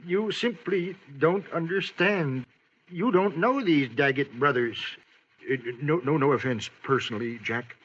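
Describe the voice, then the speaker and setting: An actor playing a nervous, apologetic, stammering hotel keeper in an old-time radio western. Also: male voice, fairly high pitch, measured pace, a bit bright. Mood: flustered, fearful. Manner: stammering, flustered. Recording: old radio recording, faint hiss, muffled.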